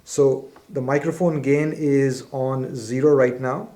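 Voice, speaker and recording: A man's voice: mid-range voice, fairly even intonation, takes it slowly, warm tone. A man presenting a product in an instructional demonstration, explaining methodically step by step.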